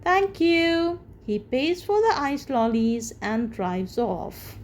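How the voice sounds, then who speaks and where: A woman reading a children's storybook aloud as the storyteller, with expressive, deliberate phrasing. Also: on the high side, a little hiss or hum.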